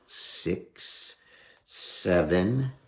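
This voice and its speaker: A man's voice: low voice, lively intonation, very slow, darkish tone. An older man reading a story aloud expressively, as a storyteller at home. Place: indoor room at home, by lit candles.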